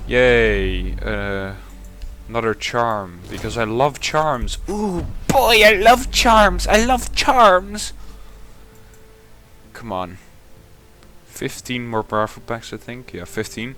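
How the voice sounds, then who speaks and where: A man who grumbles sarcastically through his pack openings and then jumps into sudden excited shouts. His pitch swings widely, and his tone stays bright and tense. Faint music plays underneath. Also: slow pace. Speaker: gaming content creator. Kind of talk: casual commentary while opening game packs.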